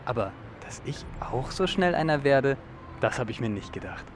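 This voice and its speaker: A man's voice: mid-range voice, expressive, normal speed, a little hiss or hum, close to an everyday voice. Man playing an eager young dinosaur fan. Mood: proud, eager. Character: eager, excitable young male dinosaur fan named Michel. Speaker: actor in an educational audio drama. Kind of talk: youth radio play acting.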